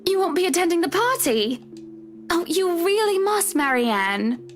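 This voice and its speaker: A gracious society hostess in a visual novel. Her voice is high, genteel and effusive, always politely insistent. Music sits faintly underneath. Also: sing-song, unhurried, exaggerated voice. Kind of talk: visual novel game voice acting.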